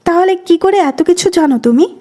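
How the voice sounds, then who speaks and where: A woman's voice: very high-pitched, lively intonation, fast, dark tone. A woman playing a bossy, jealous and playful young woman in love in an audio drama. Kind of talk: dramatised audio story dialogue.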